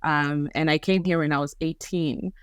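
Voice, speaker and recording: A woman's voice: low voice, steady tone, normal speed, clean tone, no room sound, clean studio sound. A woman from Kenya, a young adult founder, telling her story reflectively in an interview, joining remotely from home. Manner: reflective, storytelling with frequent restarts. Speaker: guest, company founder. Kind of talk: conversational interview. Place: home in Denver, remote call.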